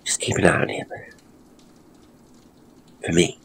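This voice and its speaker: A man voicing a frail, earnest young woman in a ghost story, hushed and halting. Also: a little hiss or hum, natural voice. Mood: gently pleading.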